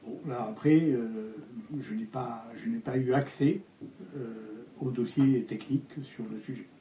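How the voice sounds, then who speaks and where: Older man, halting speech, very dark voice. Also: mid-range voice, roomy sound, low-quality recording. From Algeria. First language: Spanish. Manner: hesitant, halting with many fillers and restarts. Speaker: architect guest. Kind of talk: interview.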